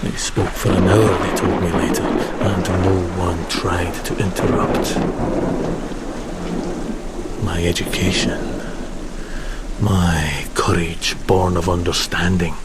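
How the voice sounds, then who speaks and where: An actor playing a bitter, educated Romanian ship's officer who broods scornfully in a gothic horror audio drama monologue. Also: male voice, low-pitched, flat delivery, very noisy. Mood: scornful, proud. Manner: slow, deliberate, brooding monologue with sardonic bite. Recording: rain and thunder under eerie music.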